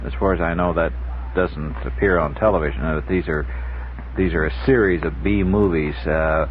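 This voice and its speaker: A narrow, phone-like old radio recording with slight noise holds a man's deep voice. He talks conversationally as an interview guest, restarting his sentences as he goes. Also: moderate pace. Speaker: radio show guest, author. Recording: muffled, noisy 1960s radio tape, phone-like.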